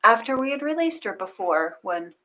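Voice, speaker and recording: Woman with a lively, varied delivery. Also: fairly high pitch, normal speed. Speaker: guided meditation leader. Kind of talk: guided meditation and casual group chat. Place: live online session from a room. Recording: faint background noise.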